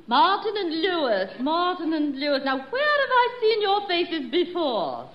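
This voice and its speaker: A haughty, gushing society matron in a 1950s radio comedy. Her voice is very high and swoops theatrically. It comes through an echoey, noisy old recording with an audience behind her. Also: huge pitch swings, measured pace, dull audio, heavily acted. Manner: grand, indignant, gushing. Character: haughty, excitable middle-aged society matron with a daughter.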